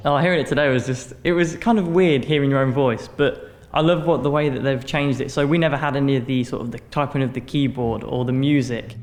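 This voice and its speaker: A man's voice: mid-range voice, fairly even intonation, a little fast. A young man talking casually in an interview as a student performer.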